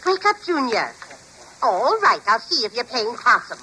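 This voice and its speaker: A woman's voice: fairly high pitch, sing-song, normal speed, bright, forceful tone. Swooping, theatrical fussy mother. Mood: brisk, coaxing. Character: fussing, playfully stern older mother figure. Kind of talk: 1940s radio comedy acting. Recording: noisy old radio comedy recording.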